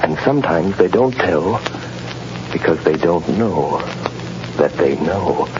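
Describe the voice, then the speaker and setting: A man playing a mysterious, commanding vigilante in an old-time radio drama, with deliberate, dramatic delivery. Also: sits low, animated. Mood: urgent, mysterious. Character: mysterious commanding male mind-reading vigilante, urgent and intense. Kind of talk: 1930s-40s radio acting. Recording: muffled, noisy old radio broadcast recording.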